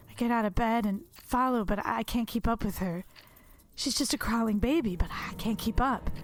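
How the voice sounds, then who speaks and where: A guarded, weary woman haunted by her past, played by an actress in a radio drama. Her voice sits a little high, hesitant but firm, with clear background noise around it. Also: close to an everyday voice. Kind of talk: audio drama acting.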